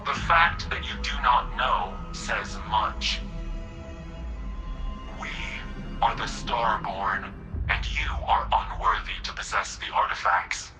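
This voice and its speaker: An actor playing a menacing, imperious alien emissary in a video game, formal and condescending, through a radio filter. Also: male voice, fairly low pitch, slow pace, over music, rough recording. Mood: cold warning. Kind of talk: scripted video game acting.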